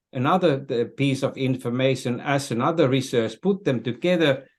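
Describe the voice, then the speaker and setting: Older male interview guest, Finnish accent, mid-pitched voice, halting and repetitive as he explains. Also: a little mellow. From Finland. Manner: halting, searching for words, repeats phrases. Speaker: interview guest, business strategist. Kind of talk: conversational interview, explaining and telling anecdotes.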